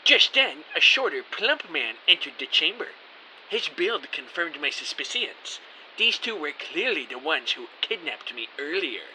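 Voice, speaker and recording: A high-pitched, very bright male narrator, acted by a man telling his story as an anxious, hapless everyman. The delivery is lively, earnest and scripted, over a faint hiss. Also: measured pace, full character voice, poor audio. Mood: tense narration. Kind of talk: dramatic first-person scripted narration.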